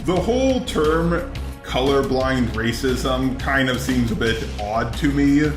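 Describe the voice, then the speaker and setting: A man's voice: mid-range voice, expressive, slow, music in the background. Synthetic male commentary voice, roomy echo. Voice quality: synthetic text-to-speech voice. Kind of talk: scripted video commentary.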